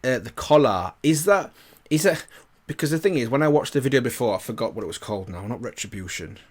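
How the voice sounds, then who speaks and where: A man's voice: mid-range voice, animated, fast talker. A man giving casual reaction commentary as a video host, rambling and thinking questions through aloud.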